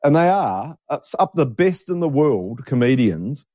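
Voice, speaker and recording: A man's voice: mid-range voice, sing-song, a little slow, dark tone, no room sound. A middle-aged man, co-hosting a casual chat show and rambling as he hunts for words. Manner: rambling, restarts while searching for words. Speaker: co-host.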